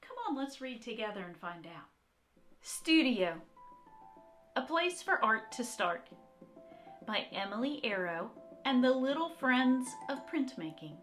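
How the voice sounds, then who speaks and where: Female children's art teacher for read-aloud: high-pitched, sing-song variety, encouraging, speaks straight to kids. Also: measured pace, long pauses, faint music behind. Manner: encouraging, expressive, addressing children directly.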